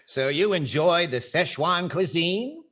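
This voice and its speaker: A man's voice: a little high, lively intonation, takes it slowly, fairly bright tone, heavily acted. An older man with an American accent acting a wry, wordy, playfully pompous host in 1940s radio comedy style.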